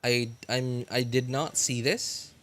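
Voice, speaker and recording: A man in his thirties chatting loosely on a live stream, drifting between thoughts with plenty of ums and restarts and little chuckles. His voice sits at an ordinary middle pitch, with soft game music under it. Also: fairly even intonation, takes it slowly. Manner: rambling, filler-heavy, chuckling. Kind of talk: casual chat with stream viewers.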